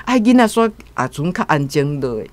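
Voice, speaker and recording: A woman's voice: deep voice, very animated, fairly quick. A woman with a Taiwanese accent casually retelling a story as a guest commentator, acting out quotes.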